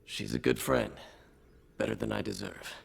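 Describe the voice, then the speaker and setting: An actor playing a weary, dry alien ex-information trader in a video game, with a gravelly rasp and clipped delivery. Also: male voice, lowish voice, fairly even intonation, normal speed, full character voice. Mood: subdued, fond. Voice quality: gravelly, rasping.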